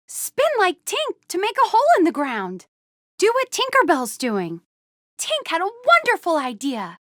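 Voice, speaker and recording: A woman's voice: very high voice, huge pitch swings, unhurried, a bit bright, no room sound, studio quality, full character voice. A woman voicing a playful, exuberant young animal fairy for a video game, encouraging and eager to tell stories. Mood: cheerful, encouraging. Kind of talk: animated video game character dialogue, storytelling and instructions.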